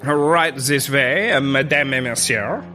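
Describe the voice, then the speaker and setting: An actor playing an officious, bigoted club host in a comedic period audio drama, smarmy, fussy and rambling. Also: male voice, medium pitch, takes it slowly, bright, forceful tone, faint music behind. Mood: brisk, officious.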